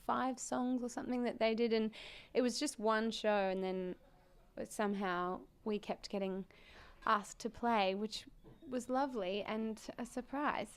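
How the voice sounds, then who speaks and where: Smooth-voiced Australian woman. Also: on the high side, a little slow. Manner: rambling storyteller. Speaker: musician guest. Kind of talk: casual interview answers.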